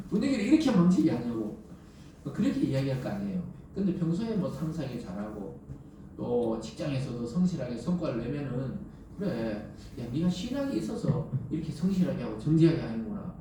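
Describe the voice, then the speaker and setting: A man's voice: mid-range voice, big pitch swings, fairly quick, mellow. A man with a Gyeongsang Korean accent preaching a conversational sermon as a pastor, explaining with rhetorical questions and everyday dialogue. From South Korea. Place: church sanctuary during Sunday service. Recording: echoey church, distant mic, faint noise.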